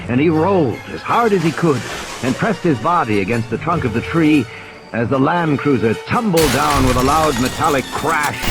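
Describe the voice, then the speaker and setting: A man narrating an audio play in a dramatic, suspenseful and deliberate storytelling style. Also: mid-range voice. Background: cricket-like night ambience sound effects.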